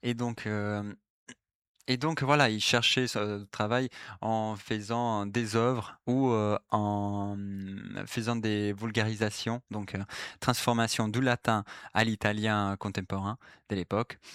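Italian-accented man, lowish smooth voice, slow and hesitant delivery with many fillers, explaining a topic in class. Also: flat delivery, a bit bright, no echo, studio quality. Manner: apologetic, polite. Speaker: presenter giving a class talk. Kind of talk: explanatory talk on literary history.

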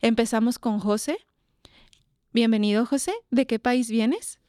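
Mexican lady hosting radio, kinda high voice, curious friendly questions, dry close mic. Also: measured pace, dark tone, no echo. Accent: Mexican Spanish. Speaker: radio host.

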